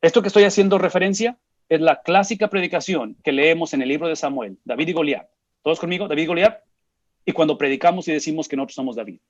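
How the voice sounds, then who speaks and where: A man's voice: on the high side, fast talker. A Mexican man in his forties leads a Bible study. He speaks in a slightly raised register and presses each point home by asking himself questions, then answering them. The recording sounds dull and lo-fi. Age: 40s. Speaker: Bible teacher. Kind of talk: Bible study teaching to a group.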